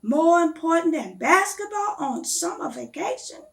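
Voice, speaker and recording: A woman voicing a playful, teasing young boy from a storybook, animated and incredulous. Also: extremely high pitch, a little slow, vocal fry, voice pushed up, heavily acted.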